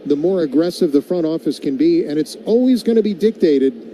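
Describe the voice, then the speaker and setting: An American man calling baseball play-by-play on the radio, mixing live pitch calls with casual banter. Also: expressive, fairly quick, warm tone, slight background noise, low-quality recording.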